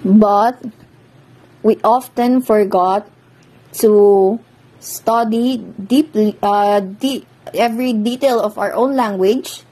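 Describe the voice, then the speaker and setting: A young woman from the Philippines with a Filipino accent, presenting a class report over slides, halting and correcting herself often. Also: medium pitch, warm tone, low-quality recording. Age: young adult. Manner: halting, with many restarts and self-corrections. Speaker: student presenter.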